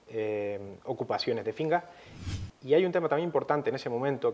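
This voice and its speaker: A man from gives an unscripted historical explanation at a middling pitch. His delivery is measured and didactic. He often hesitates and repeats a word before carrying on. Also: darkish tone. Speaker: history commentator.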